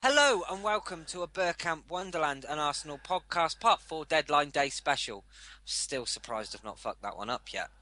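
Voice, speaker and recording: A man's voice: a little high, expressive, moderate pace, bright, forceful tone. A man hosting a casual football podcast, with a sweary, grumbling, sarcastic way of talking. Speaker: podcast host.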